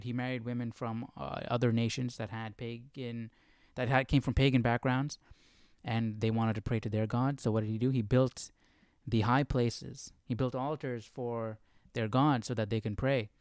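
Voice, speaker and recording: A man's voice: mid-range voice, darkish tone, smooth, no room sound. A man talks casually as the host of a Bible study stream, with a clean, resonant voice recorded dry. He speaks off the cuff, searching for his words and drawing out phrases for emphasis. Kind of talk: casual unscripted commentary.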